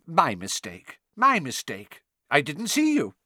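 A man's voice: sits high, huge pitch swings, moderate pace, sharp tone, dry recording, clean studio sound, pitched above the performer's own voice, exaggerated voice. A man voicing a mischievous male poltergeist who fawns greasily and cackles. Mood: greasy fawning. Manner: wheedling, cackling, sing-song.

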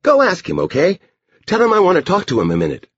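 A man acts out a scheming little girl from an audiobook story, eager and bossy. The voice jumps high and cajoles insistently, on a slightly muffled recording. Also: wildly swooping pitch, quick pace, slightly rough, no room sound, exaggerated voice.

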